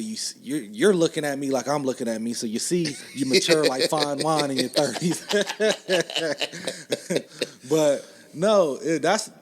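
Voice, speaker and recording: A man in his mid-thirties co-hosting a podcast, rambling in casual chat, joking, and often tagging sentences with "you know what I'm saying". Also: mid-range voice. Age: 30s. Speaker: podcast co-host.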